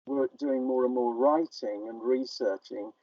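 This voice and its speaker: An older man giving a casual, reflective interview as a playwright, talking in a halting, self-effacing, wordy way. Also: mid-range voice, warm tone, dry recording. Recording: dull, muffled, distant radio-like sound.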